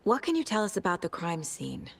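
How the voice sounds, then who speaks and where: A woman's voice: mid-range voice, big pitch swings, a little fast, vocal fry, husky, full character voice. A woman playing a composed, determined FBI agent and mother in a cinematic video game, businesslike and matter-of-fact. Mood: focused.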